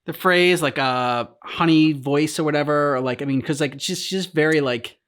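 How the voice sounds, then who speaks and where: Man chatting casually, halting and rambling. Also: fairly high pitch, a bit bright, clear, resonant tone. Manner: rambling, halting, searching for words. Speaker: host of a game reaction show. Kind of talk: casual chat, commentary on game cutscenes.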